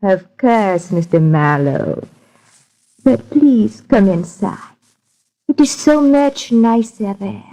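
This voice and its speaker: An actress playing a sultry, poised French femme fatale with a thick French accent in a 1940s radio noir. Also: female voice, medium pitch, expressive, slow, mellow, poor audio. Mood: coolly inviting. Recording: old radio recording.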